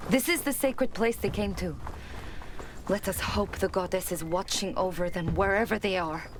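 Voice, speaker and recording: A woman's voice: medium pitch, normal speed, background noise, lightly acted. A woman playing a wary, practical Norse hunter in a video game, earnest and watchful. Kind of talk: dramatic video game acting.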